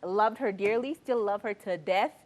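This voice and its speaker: A woman's voice: medium pitch, moderate pace, darkish tone. A woman in her twenties hosting a casual talk show, playful and joking as she asks her co-hosts questions. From Kenya. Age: 20s. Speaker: show host. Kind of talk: casual chat.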